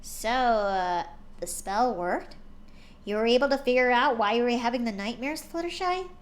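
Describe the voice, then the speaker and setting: A woman's voice: fairly high pitch, expressive, normal speed, close to an everyday voice. Earnest, bookish young mare pony, raised and fretful, a woman doing the voice. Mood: curious.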